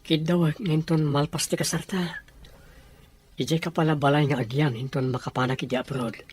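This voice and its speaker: A man's voice: on the high side, steady tone, normal speed, darkish tone, slight background noise, lightly acted. A man with an Ilocano accent playing a loving young fiancé who talks in an easygoing, tender way.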